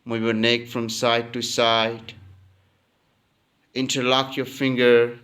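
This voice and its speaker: A man leading a guided relaxation as a yoga teacher, calmly giving instructions and repeating phrases. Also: mid-range voice, slow, clear, resonant tone.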